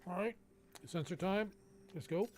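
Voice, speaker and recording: A man's voice: high-pitched, unhurried, darkish tone, a little hiss or hum. A man streaming a video game, casually commenting on play and reading on-screen text aloud. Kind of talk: live gameplay commentary, reading dialogue aloud.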